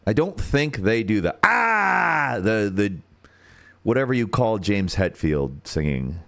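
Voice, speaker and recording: Deep-voiced American man, lively chat. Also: slow, gravelly. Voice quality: deep radio-style voice; turns nasal when singing high. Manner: thinks aloud, searching for words. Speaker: musician hosting a livestream. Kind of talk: casual livestream chat.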